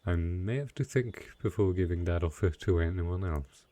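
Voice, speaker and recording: A man playing a lonely, gentle but possessive dark knight in an intimate fantasy audio roleplay, speaking softly and haltingly. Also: low-pitched, no room sound, natural voice. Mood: tender, wistful.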